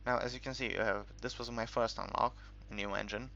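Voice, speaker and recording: A man giving casual, unscripted game commentary in a halting, rambling way, as if thinking aloud while he explains things. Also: on the low side, steady tone, vocal fry, pushed and bright. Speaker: gaming video creator narrating a tutorial. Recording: dull lo-fi home recording, music behind.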